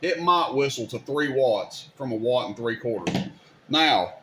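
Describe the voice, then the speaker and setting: A man's voice: mid-range voice, animated, measured pace, background noise. A man hosting a casual, hands-on technical demonstration, speaking informally and directly to viewers in a folksy way. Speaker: video host demonstrating CB radio gear.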